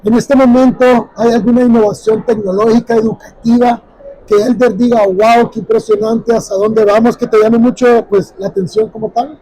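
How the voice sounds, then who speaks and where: A man's voice: very high-pitched, steady tone, moderate pace, warm tone, no echo, low-quality recording. A man hosting a friendly interview at a busy trade fair, with many fillers and restarts. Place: busy expo hall.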